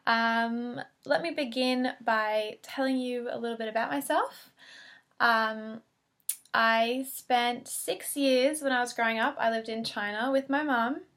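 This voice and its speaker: Earnest young woman, slightly high voice. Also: fairly bright tone. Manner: earnest, many fillers and restarts, gushing. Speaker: speech pathology student. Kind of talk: casual self-introduction video.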